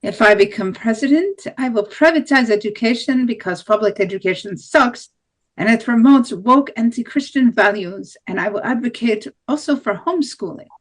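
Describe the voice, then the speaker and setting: A woman's voice: on the high side, expressive, moderate pace, poor audio. A woman reading the part of a blunt, hardline right-wing presidential candidate in an amateur play table read, with plain declarative delivery. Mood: firm.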